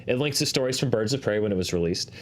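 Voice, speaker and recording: A man hosting a talk show, explaining figures in a measured, conversational way. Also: fairly low pitch, lively intonation. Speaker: host of a box office chart show. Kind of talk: conversational analysis of box office figures.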